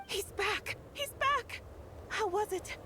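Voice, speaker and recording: An actress playing an excitable, jittery young woman in a video game, with faint noise behind her. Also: very high voice, slow, full character voice. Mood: excited.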